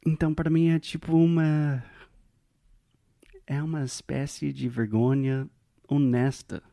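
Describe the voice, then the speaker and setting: A man with an American accent chats earnestly in a casual podcast conversation. His voice is gravelly and warm, and the recording is close and dry. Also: mid-range voice, little pitch movement, unhurried, no room sound. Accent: American English. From United States. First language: English. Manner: earnest and reflective, thinks aloud. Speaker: podcast co-host.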